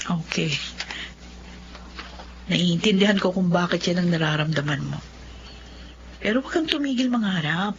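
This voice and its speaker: A woman playing a kindly, encouraging lawyer benefactor in a Filipino radio drama, with a measured, advising manner. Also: lowish voice, lively intonation. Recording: muffled, noisy radio drama.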